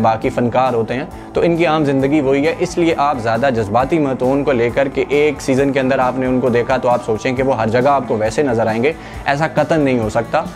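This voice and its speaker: A young man from Pakistan with a Pakistani Urdu accent, presenting current-affairs commentary in a conversational way with fillers, talking straight to viewers. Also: mid-range voice. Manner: conversational, many fillers, addresses viewers directly. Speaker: news channel presenter. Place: studio in front of a green screen. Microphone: clip-on lapel mic. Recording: faint background music.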